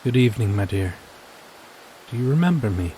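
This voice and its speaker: A man playing a gentle, courteous vampire in an intimate ASMR roleplay, speaking in a formal, hesitant, apologetic way. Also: medium pitch, animated, fairly quick, a little hiss or hum, lightly acted. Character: courteous, gentle male vampire stranger, kindly and old-fashioned.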